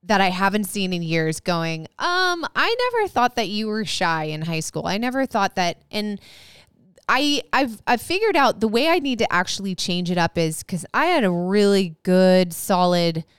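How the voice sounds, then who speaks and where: A woman with a high, strikingly clear voice talks through a personal story in a relaxed podcast chat. She rambles and restarts her sentences now and then. The sound is close and dry. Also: moderate pace, no room sound. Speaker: podcast co-host. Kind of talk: casual chat.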